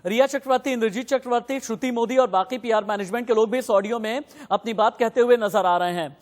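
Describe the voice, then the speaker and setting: A man's voice: very high-pitched, fast, big-room sound. A man anchoring live news, putting questions and instructions to reporters and guests in an insistent, emphatic way.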